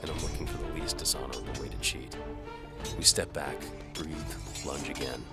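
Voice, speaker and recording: An actor playing a world-weary medieval sword-for-hire, narrating his own adventure in wry, deadpan hardboiled style. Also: a bass voice, moderate pace, over loud music. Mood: intense. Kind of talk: first-person hardboiled audio drama narration.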